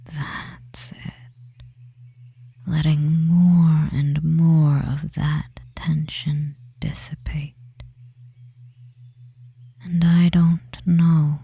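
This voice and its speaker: A performer voicing a devious, soothing femboy witch in a hypnosis ASMR roleplay, in a soft breathy whisper with a slow, lulling manner and long pauses. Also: medium pitch, dry recording, heavily acted. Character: devious soothing witch of the deep wood, feminine young man.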